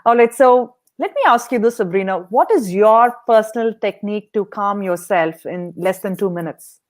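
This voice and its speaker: A woman's voice: mid-range voice, moderate pace, darkish tone. A woman hosting a leadership podcast interview, upbeat and encouraging as she asks questions. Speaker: podcast host.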